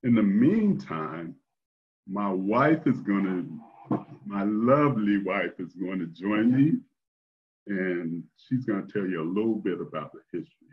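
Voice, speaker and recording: An older man hosting a story time, speaking in a deliberate, measured way as he reads aloud and chats with listeners. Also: on the low side, lively intonation, slight background noise. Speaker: storyteller and host. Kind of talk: expressive read-aloud storytelling, then casual chat. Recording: dull, lo-fi online call audio.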